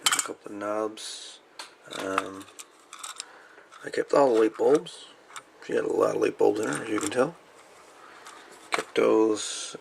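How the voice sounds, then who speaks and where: A man's voice: mid-range voice, creaky, fairly bright tone, slight background noise. A man hosting a hobby video, chatting casually and unscripted in a folksy way as he shows things off. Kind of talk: casual show-and-tell of salvaged radio parts.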